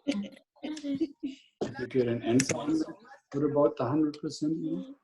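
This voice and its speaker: Very low-voiced woman, slow and lively. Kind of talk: casual video call chat. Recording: noisy video call, clicks.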